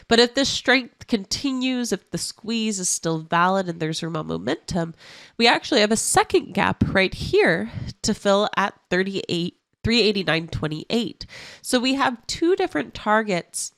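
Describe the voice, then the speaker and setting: A woman who sounds stuffy from a cold, walking through trading charts as an options analyst in a clear, explanatory way. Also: mid-range voice, a bit bright, no room sound.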